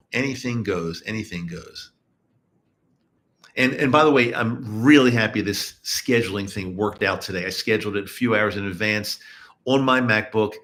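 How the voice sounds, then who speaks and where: A man's voice: mid-range voice, fairly bright tone. A middle-aged man from the US East Coast hosting a live podcast at home, chatting casually with listeners and often repeating himself. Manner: rambling, repeats words and restarts often. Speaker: podcast host. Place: home, in Utah.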